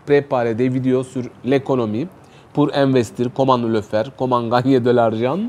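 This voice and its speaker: A man from Turkey with a Turkish accent, answering interview questions hesitantly and with many pauses. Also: mid-range voice, fairly even intonation, a little hiss or hum. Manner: slow, many pauses and fillers, soft laughs. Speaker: guest, writer and calligrapher.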